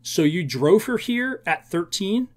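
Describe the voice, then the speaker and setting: A stern police officer from a storybook, acted out by a male reader, presses with incredulous questions. The voice is raised and swings widely in pitch, full of disbelief. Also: sing-song, unhurried. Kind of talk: audiobook-style character reading.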